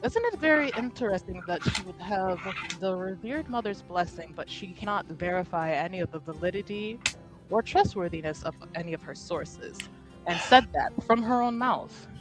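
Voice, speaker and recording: A woman's voice: fairly low pitch, normal speed, over music, close to an everyday voice, low-quality recording. A woman playing a poised young noblewoman in a tabletop game, formal and pointed in her questioning. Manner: formal, skeptical, probing questions.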